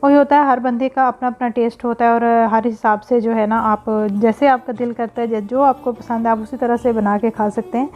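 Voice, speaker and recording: A woman's voice: on the high side, steady tone, a little fast, few pauses, dull audio. A woman, hosting her cooking vlog in a casual, rambling voice-over full of filler phrases and blessings. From Pakistan. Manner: warm religious blessings and greetings. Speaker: cooking and family vlogger.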